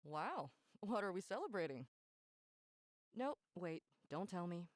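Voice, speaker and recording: Breezy, animated teasing crewmate. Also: female voice, fairly low pitch, unhurried, no room sound, studio quality. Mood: cheerful, teasing. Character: cheerful, teasing, knowing female crewmate and housemate. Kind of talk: visual novel voice acting.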